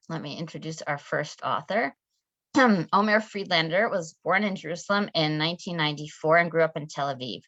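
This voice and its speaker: A woman's voice: sits low, a bit bright, dry recording. A woman hosting an online author event on a video call, speaking in a friendly way with many fillers. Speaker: event host. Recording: dull, dry video call audio.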